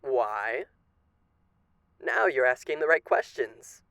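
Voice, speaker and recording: A man's voice: medium pitch, expressive, slow, vocal fry, pushed and bright, clear, resonant tone, no echo, studio quality, heavily acted. A man playing a suave, menacing radio demon in an ASMR roleplay, speaking with genteel, teasing, theatrical politeness. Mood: smooth, persuasive.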